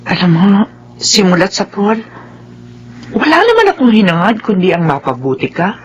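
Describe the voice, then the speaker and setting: An actress playing a long-suffering, devout wife in a Filipino radio drama, gentle, earnest and measured. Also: female voice, lowish voice, big pitch swings, husky, muffled recording. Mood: earnest, forgiving.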